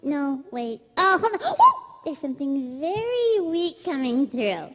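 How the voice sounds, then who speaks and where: A woman's voice: sits high, wildly swooping pitch, a little slow, very noisy, heavily acted. A woman voicing a flustered, fluttery comic officer in a sci-fi parody at a live stage reading. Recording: echoey convention hall reverb.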